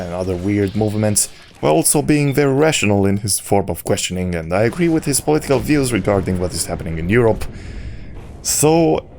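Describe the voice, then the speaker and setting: A man's voice: on the low side, vocal fry, a little mellow, slight background noise. A man whose first language is not English, hosting a solo audio log and giving opinionated commentary in a ranting way. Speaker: YouTube channel host.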